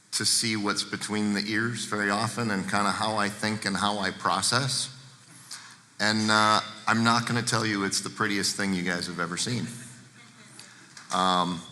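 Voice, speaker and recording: A deep-voiced man gives a candid seminar talk, speaking plainly and turning often to the audience. His low voice has a bright, forceful edge. The sound rings with the long echo of a large hall. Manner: candid, plain-spoken, addresses the audience directly. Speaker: guest speaker. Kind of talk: seminar talk to a live room. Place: large seminar room with a projector. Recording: echoey hall.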